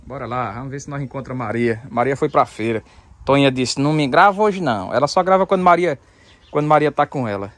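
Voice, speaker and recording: A man's voice: mid-range voice, quick pace, slight background noise. A man, vlogging outdoors in a chatty way and laughing often. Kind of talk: casual vlog narration. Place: outdoors, rural sertão and car.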